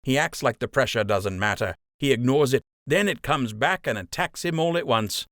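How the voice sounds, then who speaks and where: A man's voice: medium pitch, expressive, normal speed, fairly bright tone, no room sound, studio quality, voice pushed up. A man voicing a frank, friendly young rabbit soldier. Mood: frank, confiding. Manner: casual, candid.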